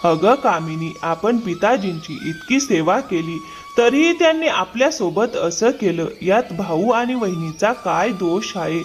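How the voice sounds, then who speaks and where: A man's voice: sits high, wildly swooping pitch, measured pace, faint music behind, exaggerated voice. A man voicing an honest, dutiful younger brother from a village, earnest and plaintive, in a cartoon moral story. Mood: hurt, puzzled.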